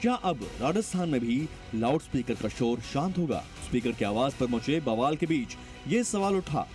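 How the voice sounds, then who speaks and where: A man's voice: medium pitch, expressive, moderate pace, darkish tone. A man narrating a scripted television news report in a dramatic, emphatic manner. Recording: voice-over with music bed.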